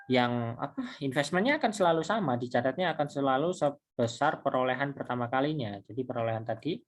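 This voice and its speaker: A man giving an informal step-by-step tutorial as a lecturer, halting and repeating himself in a conversational way. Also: medium pitch, lively intonation. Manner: halting, repetitive, conversational, with self-posed questions. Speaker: accounting lecturer.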